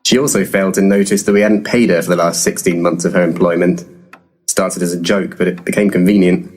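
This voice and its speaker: A man playing an eccentric, drunken ghost hunter in a comic audio drama, husky, theatrical and imperious. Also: sits low, steady tone, a little fast, darkish tone. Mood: breezy, matter-of-fact.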